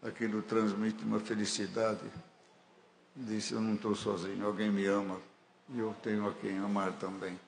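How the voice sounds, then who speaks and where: A man's voice: on the low side, level tone, normal speed, creaky, slight background noise. A man with a Brazilian Portuguese accent preaching a fervent sermon in a church hall, building to emphatic declarations. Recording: echoey church hall with faint ambience.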